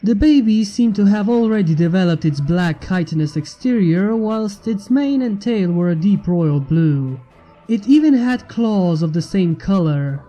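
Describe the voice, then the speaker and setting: A man's voice: sits high, expressive, measured pace, warm tone, poor audio. A man reading a fan fiction story aloud as scripted narration. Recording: music bed behind the narration.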